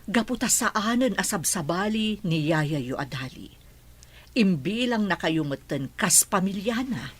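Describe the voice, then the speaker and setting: A middle-aged woman with an Ilocano accent playing a firm businesswoman mother who talks in an animated way. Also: medium pitch, measured pace, slightly rough. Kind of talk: Ilocano audio drama.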